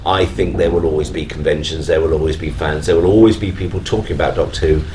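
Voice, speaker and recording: A man's voice: rumbling low, fairly even intonation, quick pace, darkish tone. A man speaking earnestly as a guest in a live panel discussion, leaning on repetition for emphasis. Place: on stage at a fan convention. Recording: noisy live event room.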